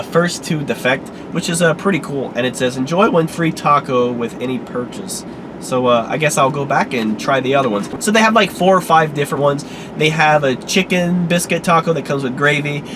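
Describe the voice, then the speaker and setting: Stuffy-nosed dude rambling through a food review, kinda hoarse, lots of uhs, cars going by in the background. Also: male voice, fairly high pitch, noisy background. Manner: rambling, easygoing, many fillers. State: congested, a little hoarse. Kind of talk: casual food review vlog.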